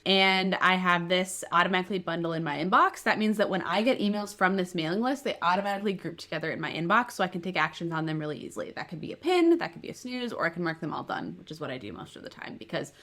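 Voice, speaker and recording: A woman with a slightly low voice walks through a software tutorial. She explains each step in a conversational way, as if thinking aloud while presenting. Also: lively intonation, fast, hardly any pauses. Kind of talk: casual screen-share tutorial narration.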